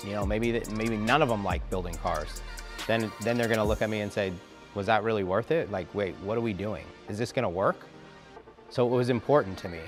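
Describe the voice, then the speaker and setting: A man who founded a car academy, speaking in a casual interview with loose, filler-heavy talk. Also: on the low side. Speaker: academy founder and shop owner.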